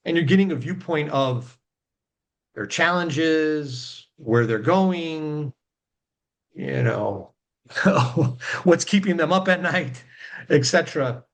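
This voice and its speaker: A man's voice: on the high side, lively intonation, slow pace. A middle-aged man hosting a podcast, chatting casually with his guest, rambling with lots of fillers like "you know" and "right". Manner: rambling, filler-heavy, affirming with repeated yeahs. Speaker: podcast host. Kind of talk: casual conversational interviewing.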